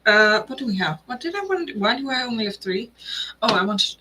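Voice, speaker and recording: A woman's voice: fairly high pitch, expressive, quick pace, background noise. A woman hosting a casual tarot live stream, chatting in a bubbly, rambling way with lots of fillers.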